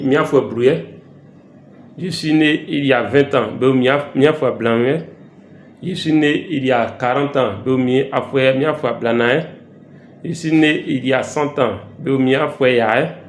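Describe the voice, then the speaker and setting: Ivorian guy teaching words slow, lots of euh, roomy echo, bit of hiss. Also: medium pitch. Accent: Ivorian French. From Côte d'Ivoire. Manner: slow, repeats words for learners, frequent hesitations. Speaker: language teacher. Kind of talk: informal language lesson vlog. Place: travelling, recorded in an echoey room. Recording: echoey room, faint background hiss.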